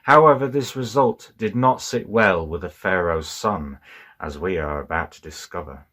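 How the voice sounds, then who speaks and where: A man's voice: deep voice, normal speed, a bit bright. A man narrating a scripted audio comic story in a solemn, theatrical announcing manner.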